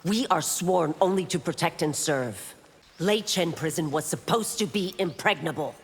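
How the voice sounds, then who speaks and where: A woman's voice: fairly low pitch, lively intonation, moderate pace, slightly rough. An actress playing a stern, dutiful female warrior constable in a video game, formal and firm. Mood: stern, defiant. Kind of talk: video game character dialogue. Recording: long hall-like reverb.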